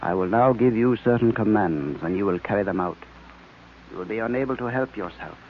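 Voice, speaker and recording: An actor playing a sinister mastermind hypnotist, slow, deliberate and domineering, in old radio serial style. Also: male voice, fairly low pitch, expressive, natural voice. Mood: commanding. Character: commanding male villain, a sinister hypnotist mastermind. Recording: muffled, noisy vintage radio recording.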